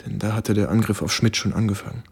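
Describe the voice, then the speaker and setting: An actor playing a shaken American soldier who recounts wartime horror gravely and haltingly in a German radio play. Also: male voice, fairly low pitch, fairly even intonation, a little mellow, full character voice. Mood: bitter, weary. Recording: studio radio drama with music underneath.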